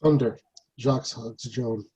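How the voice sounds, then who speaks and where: A man with a husky voice reading out scripted stage directions as narrator of a table read. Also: medium pitch, measured pace, dark tone.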